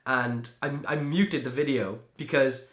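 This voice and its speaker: Young Welsh man, casual chatty interviewee, room echo, mid pitch. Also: a little slow, fairly bright tone. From Wales. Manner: chatty, lots of "like" and restarts. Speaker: magician and creator. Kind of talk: interview answers. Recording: echoey room.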